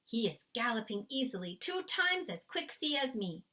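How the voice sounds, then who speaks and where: Woman as a gentle nervous storybook giant. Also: on the high side, big pitch swings, moderate pace, pitched above the performer's own voice. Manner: soft-spoken, quaint muddled grammar. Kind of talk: storybook read-aloud character voice.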